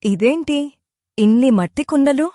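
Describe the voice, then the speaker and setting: A mellow, kind young village woman. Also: sits high, expressive, moderate pace, no echo, clean studio sound. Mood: curious. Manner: soothing, polite. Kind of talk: cartoon moral story dialogue.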